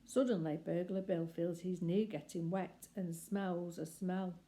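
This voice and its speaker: A woman storyteller reads a picture book aloud. Her voice sits a little low and has a relaxed, mellow tone. She phrases deliberately and expressively, pausing for emphasis. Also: a little hiss or hum. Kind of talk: expressive children's story reading.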